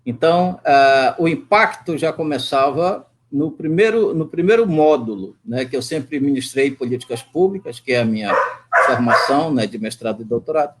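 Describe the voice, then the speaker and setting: A man's voice: medium pitch, expressive, normal speed, slight background noise. A man teacher recounting his teaching over a video call, reflective, with frequent fillers. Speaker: guest teacher.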